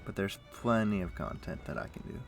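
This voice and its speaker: A man livestreaming a game, chatting casually and thinking aloud in a rambling way, with a rough morning voice. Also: deep voice, expressive, brisk, faint music behind. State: rough morning voice, throat clearing. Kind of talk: casual chat while playing an online game.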